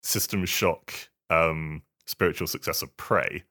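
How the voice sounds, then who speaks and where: A man's voice: very deep voice, fairly even intonation, measured pace, pushed and bright. A man chatting casually about video games as a podcast co-host, often stammering and repeating words as he goes. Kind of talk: casual video game chat.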